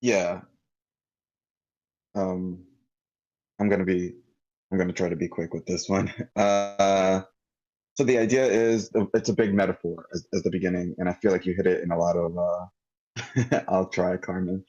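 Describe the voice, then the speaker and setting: A man giving off-the-cuff script feedback on an online group call, halting and circling back mid-thought. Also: low voice, steady tone, a little mellow, lo-fi sound. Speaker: table read participant giving script feedback.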